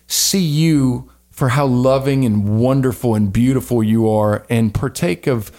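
A man's voice: mid-range voice, unhurried, mellow. Earnest rambling man, faint Southern accent. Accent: light Southern American. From American South. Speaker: podcast co-host. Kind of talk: casual chat and spoken prayer.

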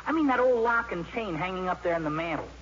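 A man's voice: high voice, animated, fast talker, a bit bright. An actor playing a polite, eager, awkward teenage boy in a 1950s radio comedy. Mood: polite, curious. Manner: awkward, naive, gee-whiz politeness. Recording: dull, noisy vintage radio broadcast.

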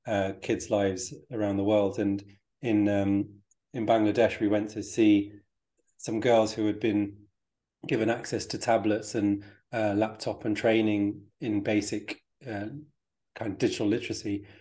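Man, podcast host, fairly low voice, warm tone, hesitant, lots of ums. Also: flat delivery. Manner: hesitant, fills pauses with ums, restarts sentences. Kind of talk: interview questions.

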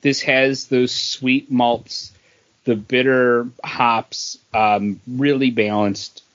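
A man's voice: mid-range voice, little pitch movement, drawn-out words, fairly bright tone, dull audio. A man co-hosting a podcast, chatting casually about comics and beer. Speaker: podcast host.

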